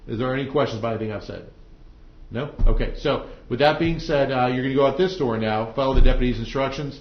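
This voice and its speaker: A male judge talks briskly through procedure in a colloquial, plain-spoken way. He explains step by step to jurors and attorneys. The sound is roomy and somewhat dull, as from a courtroom recording. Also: mid-range voice, a little hiss or hum. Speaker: judge presiding over a trial. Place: indoor courtroom.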